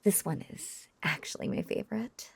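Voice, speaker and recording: A woman playing a lovesick, obsessive witch in an intimate roleplay audio drama, cooing in a breathy, husky half-whisper with lingering pauses. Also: no room sound, close to an everyday voice. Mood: seductive, teasing.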